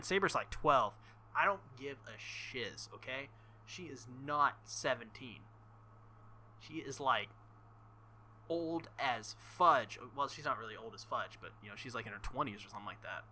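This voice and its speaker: A man hosting a visual novel playthrough, reading lines aloud and tossing in animated, sweary, joking commentary. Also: mid-range voice, normal speed, slight background noise, low-quality recording. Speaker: video game playthrough host.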